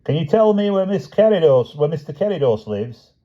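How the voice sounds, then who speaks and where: A man's voice: mid-range voice, normal speed, muffled recording, pitched above the performer's own voice, lightly acted. A male narrator voicing a chatty, breezy delivery van driver.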